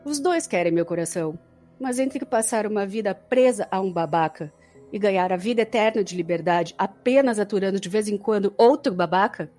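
A woman's voice: mid-range voice, brisk, a little mellow, faint music behind, lightly acted. A woman playing a bitter, defiant young captive in an audio drama, with a scornful, dramatic delivery. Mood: scornful resolve.

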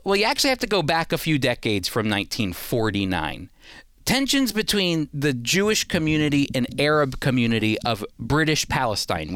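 Clear, higher-pitched man hosting an explainer. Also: a bit bright, no room sound, clean studio sound. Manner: emphatic, repeats key points for the listener. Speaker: show host. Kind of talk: explanatory history talk to listeners.

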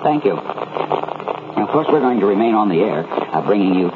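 An actor playing a frightened, halting radio newscaster in a 1970s radio drama about an emergency broadcast. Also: male voice, fairly low pitch, very animated. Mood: weary, halting. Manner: halting, stammering, anxious delivery. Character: shaken male radio newscaster, desperate and falling ill. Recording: noisy, narrow phone-like old radio recording.

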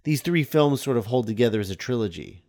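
A man hosting a podcast, talking casually about film in a halting, hesitant way. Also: medium pitch, expressive, darkish tone. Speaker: podcast host.

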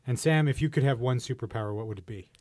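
A man's voice: mid-range voice, fast, darkish tone, dry recording. A man hosting a casual podcast, asking questions with teasing, jokey banter. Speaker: podcast host.

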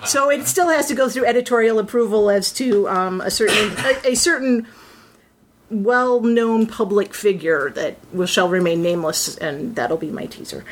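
A woman's voice: mid-range voice, normal speed, a crowd in the background. A woman voice actor in a casual round-table chat, animatedly acting out her character's thoughts as she talks.

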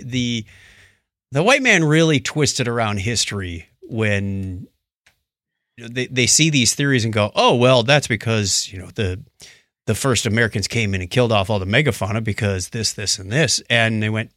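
A man with a middle-pitched voice chats as a podcast co-host. He recounts things like a storyteller and slips into imagined dialogue. The sound is dry, with no room around it. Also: animated, normal speed, fairly bright tone, no echo. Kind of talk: casual chat, recounting documentaries.

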